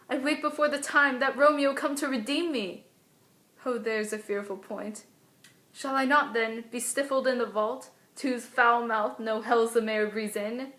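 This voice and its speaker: A woman plays a teenage tragic heroine in a Shakespearean soliloquy, her voice on the high side. She is frightened and desperate, wavering anxiously through archaic verse. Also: a bit bright, roomy sound.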